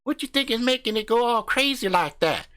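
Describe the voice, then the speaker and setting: A man voicing a loud, crude, profane husband who hunts ghosts with his wife, swaggering and brash. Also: very high-pitched, expressive, brisk, pitched above the performer's own voice, heavily acted. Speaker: comedic character.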